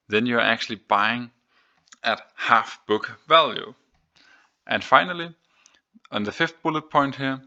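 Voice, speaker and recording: A man with a fairly low voice and a strikingly bright, forceful edge presents financial commentary. He moves through his points methodically, one step at a time, like a host guiding viewers across a screen. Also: creaky. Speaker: host of an investing video series.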